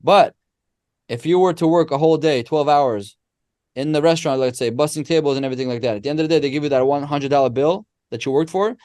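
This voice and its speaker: A man, a podcast guest who explains ideas through animated, restart-filled stories. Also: fairly high pitch, quick pace, dry recording, studio quality. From Israel. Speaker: guest, company co-founder. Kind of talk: casual podcast interview.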